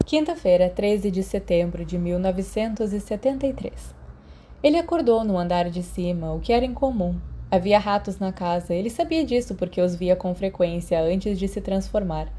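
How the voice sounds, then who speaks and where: Brazilian woman reading a fiction audiobook, soft dark mellow tone. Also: mid-range voice, animated, moderate pace, a little hiss or hum. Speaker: audiobook narrator. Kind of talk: scripted fiction narration.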